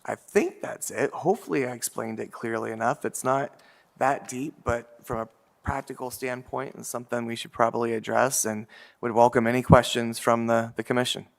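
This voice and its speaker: A man presenting a proposal to a city commission in a measured way, with many fillers. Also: mid-range voice, steady tone, vocal fry. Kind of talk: public meeting presentation.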